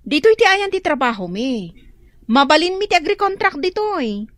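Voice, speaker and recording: An actress playing an elder sister living abroad who defends buying a house in a radio drama. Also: female voice, high-pitched, very animated, normal speed, a bit bright, dry recording, studio quality. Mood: defensive.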